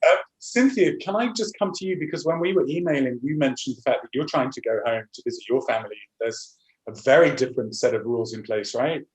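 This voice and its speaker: Man, host, rapid speech, roomy echo, panel moderator. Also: mid-range voice. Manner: quick, fills with you know.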